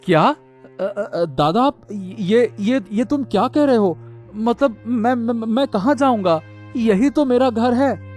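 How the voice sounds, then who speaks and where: A man's voice: very high-pitched, expressive, slow, a little mellow, faint music behind, exaggerated voice. A man voicing a meek, devoted and helpless younger brother in a cartoon story, repetitive, stammering and pleading. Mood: bewildered. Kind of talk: Hindi moral-story cartoon dubbing.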